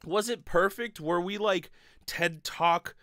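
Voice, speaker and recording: A man in his thirties giving a casual comic monologue as a podcast host, animated, rambling and sweary, close on a desk mic. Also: high-pitched, slow pace, dry recording. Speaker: podcast host, improv performer. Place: small bedroom, indoors. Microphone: desk condenser mic with pop filter, close.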